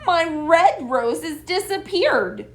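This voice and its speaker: A woman voicing an excitable, fretful but kind little girl in a storybook, exclaiming dramatically. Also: extremely high pitch, slow pace, pitched above the performer's own voice, full character voice. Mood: wailing.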